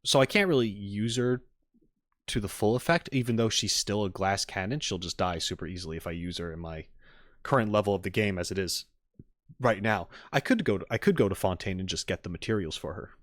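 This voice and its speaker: A man's voice: fairly low pitch, a little fast, no echo, clean studio sound. A man streaming casually to viewers, rambling and thinking aloud as he gives tentative opinions. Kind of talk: casual live-stream chat, reacting and giving opinions.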